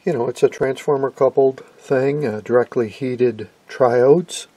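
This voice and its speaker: A man giving a casual, halting, conversational explainer as an enthusiastic radio hobbyist. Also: medium pitch, dark tone, husky, dry recording. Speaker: amateur radio hobbyist presenter.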